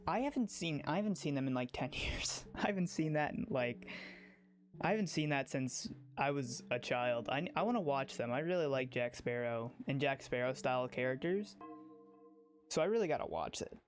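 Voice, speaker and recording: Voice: mid-range voice, expressive, moderate pace. Rambling livestreamer over background music. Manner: rambling, repeats phrases, restarts sentences. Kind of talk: casual livestream chat, rambling commentary.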